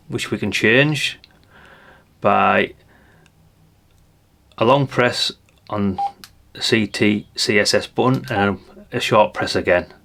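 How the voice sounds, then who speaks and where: A man's voice: lowish voice, gravelly, a bit bright. An older man giving a casual hands-on product demonstration, chatty and unscripted, thinking aloud as he goes. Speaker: presenter of a radio gadget review channel.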